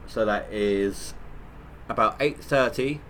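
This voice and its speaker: A man's voice: on the low side, slow, fairly bright tone, a little hiss or hum. A man with a head cold, sounding nasal, giving rambling, stop-start commentary on his gameplay livestream. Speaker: gaming livestreamer.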